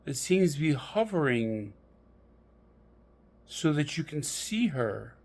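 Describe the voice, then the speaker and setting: A man narrating a guided meditation in a deliberate, measured storytelling manner with pauses. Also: fairly high pitch, very animated, darkish tone.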